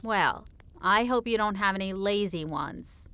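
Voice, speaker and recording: A woman playing a teasing, affectionate wife in audiobook dialogue, with a natural, playful, expressive delivery. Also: medium pitch, unhurried, clear, resonant tone. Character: teasing, affectionate wife and author in cozy conversation.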